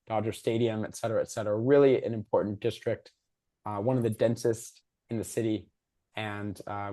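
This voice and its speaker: A man with a worn, hoarse voice speaks at an average pitch. He moderates a public discussion over a video call, giving a prepared presentation in an informal way. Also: darkish tone. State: hoarse, losing his voice. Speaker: moderator and host of a civic discussion.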